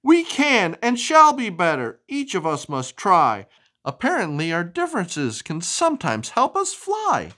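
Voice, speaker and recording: A woman voicing excitable, earnest witch townsfolk from a children's storybook. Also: on the low side, unhurried, voice pushed up, exaggerated voice. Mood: earnest resolve.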